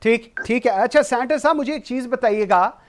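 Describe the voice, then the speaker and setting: A male talk show host with a Pakistani accent moderates political discussion. His voice sits high for a man, and he slips English phrases into his questions and commentary. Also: fairly quick. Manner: switches between Urdu and English phrases.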